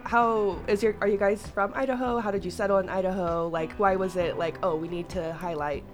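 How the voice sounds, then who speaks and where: A woman hosts a relaxed radio talk segment, chatty and quick to laugh, often restarting her questions mid-thought. She speaks into a studio microphone at an everyday pitch. Soft music runs faintly under her voice. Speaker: radio host. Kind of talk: casual radio interview. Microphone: studio mic.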